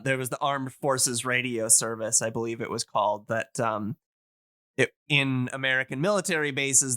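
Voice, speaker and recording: A man explaining things casually on a podcast as an enthusiast, in a halting, rambling way full of fillers. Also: medium pitch, sharp tone, clear, resonant tone, no room sound. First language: English. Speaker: podcast speaker on old-time radio.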